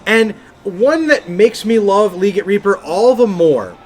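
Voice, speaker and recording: High-voiced man chatting on a gaming podcast. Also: expressive, unhurried, darkish tone. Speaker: podcast co-host. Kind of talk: casual gaming podcast chat.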